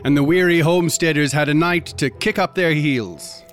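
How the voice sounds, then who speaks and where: A man's voice: fairly high pitch, normal speed, lightly acted. A man narrating a radio drama in a scripted storytelling style. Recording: loud fiddle music behind.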